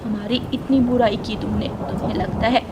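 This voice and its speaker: A woman voicing a stern, resentful female dove in a children's animated story. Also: a little high, normal speed, heavy background noise, natural voice.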